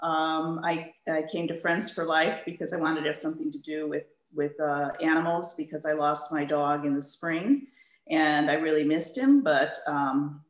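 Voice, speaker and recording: A woman volunteer introducing herself on a video call in a chatty, hesitant way. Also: deep voice. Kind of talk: casual introduction. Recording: roomy echo.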